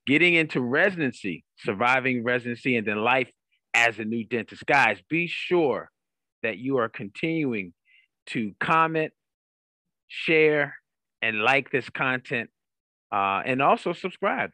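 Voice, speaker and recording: A man's voice: mid-range voice, expressive, a little slow, pushed and bright, no room sound. A man hosting a career coaching podcast in an upbeat interviewing style, emphatic and given to repeating phrases.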